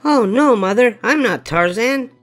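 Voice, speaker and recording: Older guy doing a spirited little storybook boy, high voice, swoops around, protesting, faint music. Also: animated, unhurried, a bit bright, full character voice. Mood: playful.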